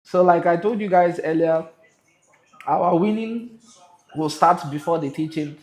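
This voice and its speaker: A man with a Nigerian accent hosting a livestream, chatting to viewers in a lively way and slipping into pidgin. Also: fairly high pitch, unhurried, roomy sound, poor audio. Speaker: live stream host.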